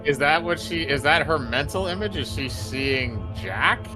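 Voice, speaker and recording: A man's voice: a little high, lively intonation, measured pace. A man co-hosting a livestream with casual, jokey banter and commentary over game music. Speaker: streamer co-host. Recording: music behind.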